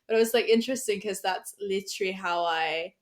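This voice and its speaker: A woman's voice: medium pitch, brisk. A German-accented woman talks casually as a podcast guest, her English carrying a German first language underneath. She chats loosely, using plenty of fillers and laughing often. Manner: chatty, many fillers and laughs. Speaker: guest writer.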